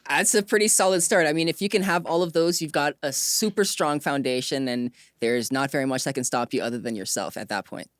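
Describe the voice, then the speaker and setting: Woman, interview host, low voice, casual, informal, affirming, dry recording. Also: brisk, hardly any pauses, no room sound. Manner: affirming, informal, repeats short acknowledgements.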